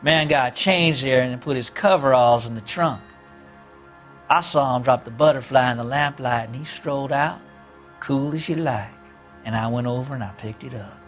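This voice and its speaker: An actor playing a sly, unrepentant drifter and ex-convict in a video game, with a rural American accent and an evasive, folksy, drawling way of talking. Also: male voice, mid-range voice, normal speed, soft background music, close to an everyday voice. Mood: defensive. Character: sly unrepentant small ex-convict drifter suspect, farm boy. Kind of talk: video game interrogation acting.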